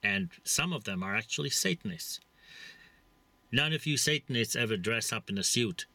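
A man's voice: mid-range voice, lively intonation, moderate pace, pushed and bright, no room sound. A middle-aged man with a Czech accent, talking to camera from inside a parked vehicle in a blunt, rambling, preachy way with many fillers. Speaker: vlogger. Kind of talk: casual monologue to camera. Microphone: camera mounted close in front.

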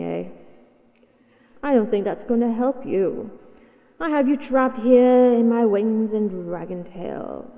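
A woman voicing a possessive female dragon in a roleplay audio, doting yet menacing, with slow, deliberate delivery. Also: mid-range voice, vocal fry, mellow. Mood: teasing. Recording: long echoing reverb.

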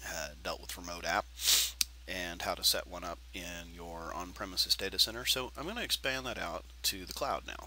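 A man's voice: low voice, slight background noise, low-quality recording. A man hosting a tech screencast tutorial, talking casually with lots of fillers, sounding nasal and stuffy from allergies. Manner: relaxed, rambling with many fillers.

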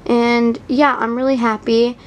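This is a young female vlogger with an American accent and a slightly high voice. She talks in a chatty, informal way, sprinkling in "like" as she guides viewers through a casual tour at home. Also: flat delivery, a little slow. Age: young, child or teenager. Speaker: doll vlogger giving a house tour. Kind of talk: casual home vlog narration. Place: indoor room at home, behind the camera.

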